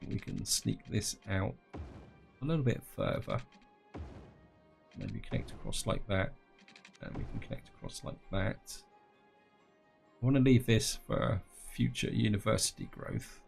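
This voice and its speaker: Low gravelly man narrating gameplay. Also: measured pace, pauses often, faint music behind. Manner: thinking aloud, addressing viewers as guys. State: slightly croaky throat. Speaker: gaming channel host. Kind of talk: casual gameplay commentary.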